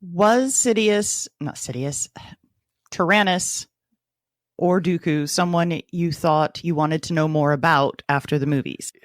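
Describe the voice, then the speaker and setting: A woman's voice: deep voice, unhurried, no echo, studio quality. A woman co-hosting a book club podcast, talking in a conversational style. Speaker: podcast co-host. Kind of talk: casual book club discussion.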